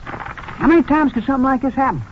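An actor playing an excitable, naive seventeen-year-old car thief in a 1950s radio drama. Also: male voice, extremely high pitch, quick pace. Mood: eager, chummy. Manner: boyish, slangy, jumpy. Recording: muffled, noisy old radio recording.

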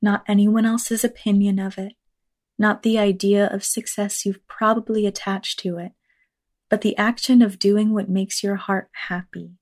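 A woman leading a guided meditation in a measured, unhurried manner, reading scripted lines with long pauses. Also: mid-range voice, flat delivery, darkish tone. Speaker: meditation guide, actor and teaching artist.

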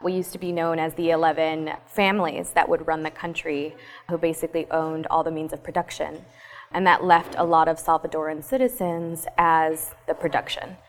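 Guest, woman, lower voice, explanatory with ums, faint background noise. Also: fairly even intonation, normal speed. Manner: explanatory, with frequent um fillers. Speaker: guest, former city councilor. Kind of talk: interview answers. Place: cafe, live recording.

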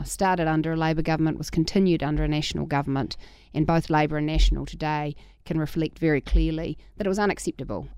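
A woman with a New Zealand accent, a politician speaking as a guest on a radio panel, composed and deliberate. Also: low-pitched, a little mellow, smooth, dry recording.

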